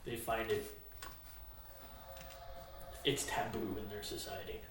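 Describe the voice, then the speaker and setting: Casual game player, unhurried drawn-out delivery, distant and very roomy, faint noise behind. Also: medium pitch, little pitch movement, over music. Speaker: player in a tabletop role-playing game. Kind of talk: casual side remarks. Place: indoor room, home game session. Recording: distant mic, very echoey room, faint noise.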